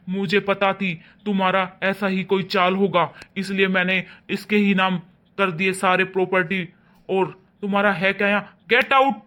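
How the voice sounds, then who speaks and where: A stern father who scolds hard and then turns gentle with his daughter, as a man acts him out. His voice sits high for a man. It sounds like an everyday family drama. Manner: scolding loudly, then softening to coax. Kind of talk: amateur family drama acting.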